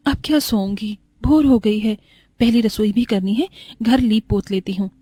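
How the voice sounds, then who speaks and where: A woman voicing a gentle, devoted poor young bride who speaks politely and respectfully. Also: mid-range voice, moderate pace, husky, no echo, clean studio sound, close to an everyday voice. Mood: dutiful. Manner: respectful, polite, soft-hearted.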